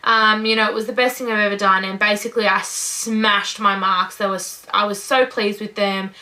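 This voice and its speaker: A woman's voice: mid-range voice, steady tone, normal speed, sharp tone, low-quality recording. A nineteen-year-old Australian woman in her first vlog, talking casually to camera in an upbeat, gushing way. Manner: upbeat, gushing, says "incredible" and "you know" often. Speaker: vlogger.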